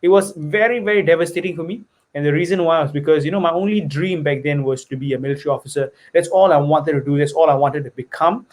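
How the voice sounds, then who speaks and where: Earnest man, fairly high voice. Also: quick pace. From Singapore. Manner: earnest, peppers talk with "right?" and "you know". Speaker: guest investor. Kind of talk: personal story in conversation.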